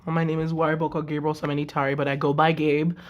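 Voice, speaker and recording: A sixteen-year-old student from Nigeria, chatting casually in a documentary interview. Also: male voice, a little high, flat delivery, fast talker, muffled recording. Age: teens. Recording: music underneath.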